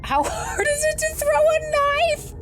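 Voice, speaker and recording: A woman's voice: very high-pitched, lively intonation, takes it slowly. A woman doing casual let's play commentary, reacting aloud in a playful, chatty way. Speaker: gaming video creator. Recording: game music behind the voice. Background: video game music.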